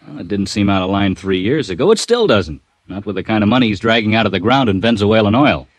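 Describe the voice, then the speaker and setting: Low-voiced, harried American insurance executive. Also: male voice, expressive, quick pace, dry recording. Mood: worried, pressing. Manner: fretful, emphatic. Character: worried, harried male insurance executive, blunt and businesslike. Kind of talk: 1950s radio drama acting.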